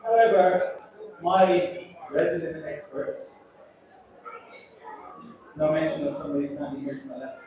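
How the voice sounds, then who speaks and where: A man's voice: on the high side, a little slow, long pauses, heavy reverb, muffled recording. Man, ship captain, quippy and self-deprecating, heard from far off, crowd noise behind. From Ireland. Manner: chatty, joking, self-deprecating. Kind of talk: announcement to passengers. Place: large echoey atrium on a cruise ship.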